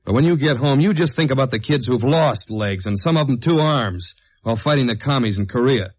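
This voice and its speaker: An actor playing a tough, wry government investigator in a 1950s-style radio detective drama, with clipped, slangy delivery. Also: male voice, medium pitch, lively intonation, fairly quick, breathy edge. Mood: hard-boiled, weary. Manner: clipped, slangy, hard-boiled. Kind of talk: 1950s radio acting with first-person narration. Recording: dull vintage radio recording with music.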